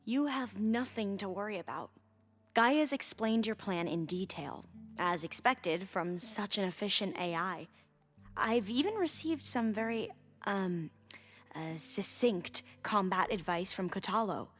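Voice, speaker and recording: A hesitant, bookish young scholar. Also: female voice, mid-range voice, expressive, faint music behind. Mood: shy, hesitant. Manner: hesitant, halting, with formal learned wording. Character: nervous, earnest, bookish young woman scholar of a tribe. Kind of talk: video game cutscene dialogue.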